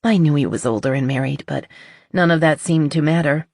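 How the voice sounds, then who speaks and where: An audiobook narrator voicing a nervous, earnest middle-aged woman confessing, with a halting, shaky delivery. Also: medium pitch, a little mellow, no echo, clean studio sound, natural voice. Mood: wistful.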